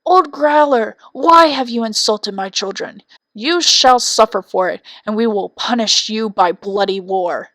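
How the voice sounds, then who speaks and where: A woman's voice: on the high side, a little slow, a bit bright, no echo. Woman playing a stern little bird king. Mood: stern challenge. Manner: regal, stern, declaring threats and orders. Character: proud, protective willow-wren king, a small male bird. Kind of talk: storybook character voice.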